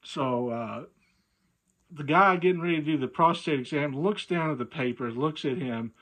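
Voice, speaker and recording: A man casually telling a humorous anecdote, animated and amused by his own tale. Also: on the high side, moderate pace. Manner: animated, acts out dialogue, chuckles at own story. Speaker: storyteller.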